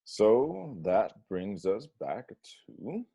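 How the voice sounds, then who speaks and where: A man's voice: lowish voice, sing-song, extremely slow, creaky, mellow, husky, heavily acted. An actor playing a dramatic, outspoken young male housemate in a comedy table read. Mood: playful. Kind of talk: comedy script read aloud. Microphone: video call.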